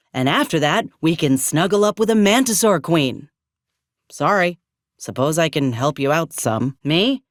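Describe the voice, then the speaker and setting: A folksy soldier, close and dry. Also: female voice, low-pitched, no echo. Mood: dry, wry. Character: frank, loyal frontier woman soldier with dry wit. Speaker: video game voice actor. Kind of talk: scripted video game character dialogue.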